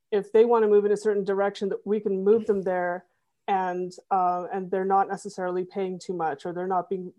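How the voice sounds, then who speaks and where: A middle-aged woman talking through her web development work in a relaxed interview, with plenty of restarts and fillers. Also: medium pitch. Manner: thoughtful, many fillers and restarts. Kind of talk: conversational interview.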